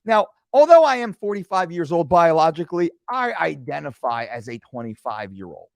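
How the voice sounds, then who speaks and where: A man's voice: a little high, sing-song, moderate pace, gravelly, no echo. A man in his forties talking through a screen-share fitness lesson, with joking asides. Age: 40s. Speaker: fitness coach.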